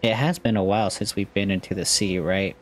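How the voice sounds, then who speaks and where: A woman's voice: a bass voice, fairly even intonation, a little fast, faint music behind. A woman giving casual, playful commentary over a game playthrough, slangy and chatty. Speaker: gaming video creator.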